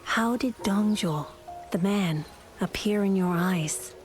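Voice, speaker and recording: An actress playing a solemn, thoughtful young travelling companion in a video game. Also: female voice, medium pitch, slow pace, faint music behind, natural voice. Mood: solemn, reflective. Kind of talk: video game dialogue acting.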